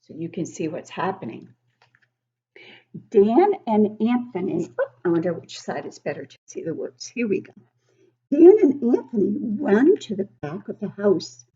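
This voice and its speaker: Animated woman reading slowly to young children. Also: mid-range voice, husky, dull audio. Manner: animated, explanatory, child-directed. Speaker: read-aloud book club host for young children. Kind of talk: expressive picture-book reading with simple explanations.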